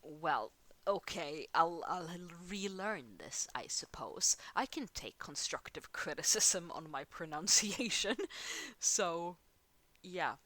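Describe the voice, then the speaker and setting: A Swedish woman with a slightly low voice rambles through a casual drawing vlog, giggling and poking fun at herself. English is her second language, and she speaks close into a headset mic at home. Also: lively intonation, slow, sharp tone. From Sweden. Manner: rambling, giggly, self-deprecating. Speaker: art channel host. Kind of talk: casual chat over drawing. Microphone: headset close to mouth.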